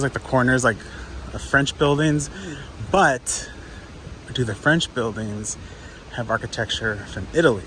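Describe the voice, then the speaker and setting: A slightly hoarse man talks through a walking tour into a handheld camera. He rambles loosely with plenty of fillers at a middling pitch. Busy street noise runs clearly behind him. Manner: rambling, thinking aloud with many fillers. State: slightly hoarse, coming down with a cold. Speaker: travel vlogger. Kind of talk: casual walking tour commentary. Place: outdoors on city streets.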